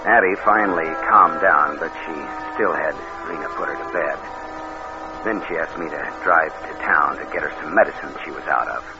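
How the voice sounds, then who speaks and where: An actor playing a cold, scheming killer with a hardboiled, deadpan way of talking in an old radio crime drama. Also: male voice, very deep voice, moderate pace, music in the background. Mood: cold, matter-of-fact. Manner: hardboiled, deadpan narration, falsely solicitous with others. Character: cold, scheming killer narrating his crime, posing as nephew. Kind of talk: 1940s radio drama acting. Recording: muffled, noisy old radio recording.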